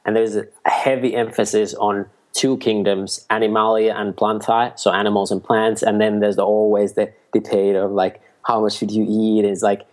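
A man from Finland, his voice low and flat in pitch. He talks as a podcast guest, laying out ideas in a steady, explanatory way. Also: moderate pace, few pauses. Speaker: guest, mushroom food entrepreneur. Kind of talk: casual interview talk.

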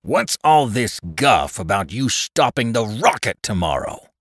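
A man voicing a hot-tempered, threatening head mechanic who talks in a forceful, demanding way. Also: fairly low pitch, big pitch swings, a little slow, no echo, clean studio sound, pitched above the performer's own voice, exaggerated voice. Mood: indignant.

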